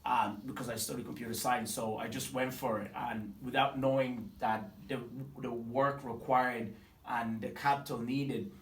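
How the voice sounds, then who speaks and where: A man's voice: mid-range voice, moderate pace. Tanzanian man in his twenties, echoey. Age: 20s. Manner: animated storytelling with many fillers and restarts. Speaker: business owner interviewed. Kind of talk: casual interview. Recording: echoey room, mic at a distance, faint ambience.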